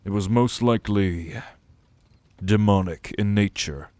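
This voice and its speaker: Guy doing a deep slow ancient god's son, haughty and brooding, fantasy roleplay, really dry close sound. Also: a little mellow, no room sound, close to an everyday voice. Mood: grave, ominous. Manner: formal, solemn, disdainful storytelling. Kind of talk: fantasy audio roleplay acting.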